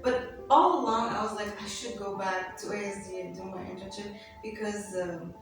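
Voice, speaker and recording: A woman's voice: medium pitch, moderate pace, music in the background. Young woman, Arabic first language, chatty with fillers, far from mic, echoey room. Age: young adult. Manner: chatty, many fillers like "you know" and "like". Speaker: interview guest, university student. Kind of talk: casual interview. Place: school set.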